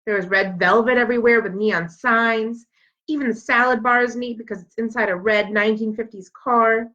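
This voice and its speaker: A woman reading a children's book aloud as an expressive storyteller. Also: a little high, moderate pace. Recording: dull, lo-fi sound.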